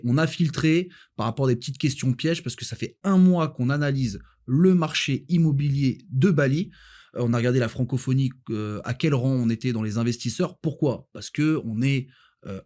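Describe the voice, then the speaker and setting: A man with an average-pitched voice talking rapidly as a guest in a casual podcast conversation. He is blunt and colloquial, and tends to repeat his words as he explains his view as a property adviser. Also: darkish tone. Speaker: guest, Dubai-based real estate adviser.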